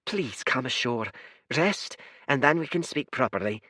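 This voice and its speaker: A man voicing a gentle, soft-spoken male spirit of kindness. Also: mid-range voice, lively intonation, a little slow, husky, dry recording, clean studio sound, voice pushed up, full character voice.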